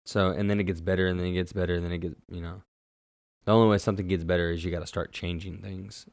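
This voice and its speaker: A man's voice: sits low, flat delivery, quick pace, vocal fry, no room sound. A young man co-hosting a podcast, laid-back and teasing, with slangy casual talk. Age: young adult. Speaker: podcast co-host. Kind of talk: casual chat.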